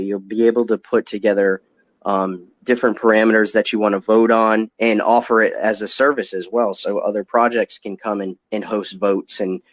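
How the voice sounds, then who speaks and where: A man's voice: fairly low pitch, no echo. A man giving casual, explanatory answers as a company guest in an online audio interview. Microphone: online audio chat.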